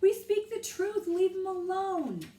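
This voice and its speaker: A woman voicing a young girl who shouts and pleads urgently in a storybook reading. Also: extremely high pitch, expressive, unhurried, pitched above the performer's own voice. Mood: pleading. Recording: echoey room, voice from a distance.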